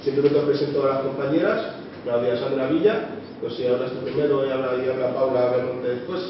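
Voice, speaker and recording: A man's voice: medium pitch, brisk. A man organizing a public debate, introducing the speakers in a rambling way, full of little tag questions. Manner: rambling, full of "¿no?" and "o sea" tags. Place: meeting room of a local association. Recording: echoey, muffled room recording from a distant mic with background noise.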